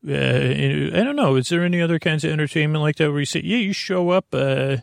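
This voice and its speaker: A man hosting a sleep podcast in a casual, meandering monologue, halting and drifting off topic, with a gravelly creak in his voice. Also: fairly high pitch, no room sound, clean studio sound. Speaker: podcast host. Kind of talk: rambling casual monologue to help listeners fall asleep.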